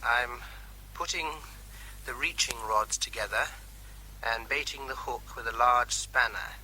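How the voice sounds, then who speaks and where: A man's voice: mid-range voice, a little slow, bright, forceful tone, rough recording. An actor playing a facetious, nervy army captain giving a running commentary as he works on a bomb, with faint noise behind, in a radio drama. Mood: jokey, nervy.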